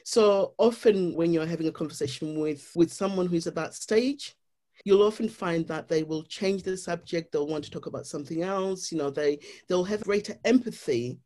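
A guest with a Zimbabwean accent speaks in an earnest, explanatory way, laying out ideas one stage at a time. The pitch sits in a middle range, and the talk is steady and sincere. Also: normal speed. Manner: earnest, explanatory, with frequent "you know". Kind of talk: reflective interview talk.

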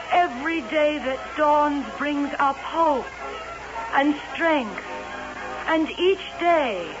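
Very slow, mystical fortune-telling princess. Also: female voice, high voice. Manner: slow, solemn, oracular. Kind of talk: old-time radio drama acting. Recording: muffled old radio, music behind.

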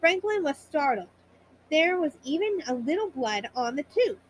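A woman storyteller narrating a children's bedtime story in a high-pitched voice. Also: sing-song, moderate pace, a little hiss or hum, poor audio.

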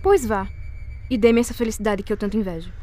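A woman playing a rich, shrewd young Brazilian lady in an audio drama, measured and commanding. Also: mid-range voice, mellow, lightly acted. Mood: cold, commanding. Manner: cold, measured, imperious. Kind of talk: audio drama acting of a period novel.

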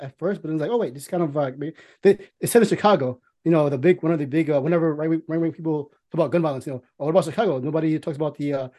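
A man in a casual movie discussion, talking in a rambling, halting way with plenty of swearing. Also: on the high side, warm tone. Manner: rambling, halting, profanity-laced. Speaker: podcast co-host.

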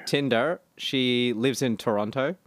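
A man's voice: mid-range voice, a little slow, no echo. A man co-hosting a casual podcast, joking and laughing often as he reads out dating profiles. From Australia. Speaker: podcast co-host. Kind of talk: casual banter.

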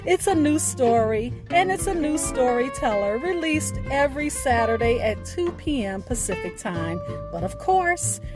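A woman hosting a podcast, reading a scripted sign-off with deliberate, emphatic enunciation over music. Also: high voice, sing-song. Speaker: podcast host. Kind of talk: scripted podcast outro with spoken poetry.

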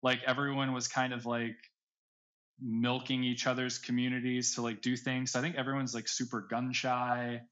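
A man's voice: mid-range voice, flat delivery, normal speed, a bit bright, lo-fi sound. A man speaking casually as an interview guest, in an informal way peppered with "like" and "right". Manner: informal, heavy use of "like" and "right". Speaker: guest, NFT project creator.

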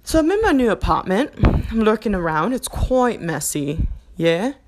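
A woman's voice: mid-range voice, big pitch swings, normal speed, darkish tone, slight background noise, rough recording. A woman doing a put-on Australian accent that keeps slipping into English, talking off the cuff in a rambling, self-mocking way in her apartment and laughing often. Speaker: podcast host. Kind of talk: impromptu stream-of-consciousness monologue.

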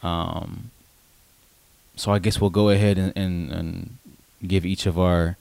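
A man in his late twenties with a low-pitched voice talks in a dry-sounding space. He co-hosts an unscripted chat, rambling informally and halting as he finds his words, with casual swearing. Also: fairly even intonation, vocal fry, a little mellow, no echo. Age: late 20s. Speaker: podcast co-host.

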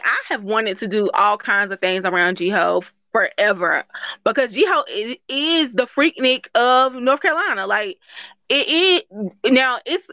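A woman's voice: a little high, bright, forceful tone, no room sound, muffled recording. A woman joining a podcast as guest co-host, chatting casually, laughing and restarting her sentences. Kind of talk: casual chat.

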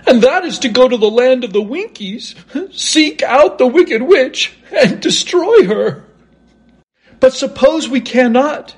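A man's voice: very high-pitched, expressive, takes it slowly, slightly rough, pitched above the performer's own voice, exaggerated voice. A man voicing a timid, cowardly lion in a children's storybook, with a nervous, pleading manner. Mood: nervous resolve.

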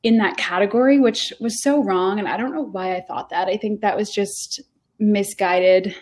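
A woman's voice: mid-range voice, moderate pace, darkish tone. A woman in her early twenties from Rhode Island, a guest telling her story in casual, rambling talk full of fillers and restarts. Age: 20s. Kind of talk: casual interview chat.

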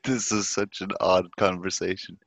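A man's voice: low-pitched, flat delivery, normal speed, vocal fry, a bit bright, dry recording. A man co-hosting a podcast in casual chat, making dry wry remarks. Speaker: podcast co-host. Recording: muffled lo-fi audio.

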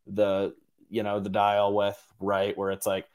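A man's voice: on the low side, completely flat delivery, a little slow, a little mellow, smooth. A man hosting a casual interview, talking in loose, meandering phrases. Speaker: interview host.

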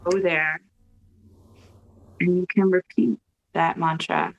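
A woman on a video call gently guiding a mantra meditation in a soft, slow, soothing way. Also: fairly low pitch, fairly even intonation, vocal fry, dull audio, a little hiss or hum. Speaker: guest leading a mantra exercise.

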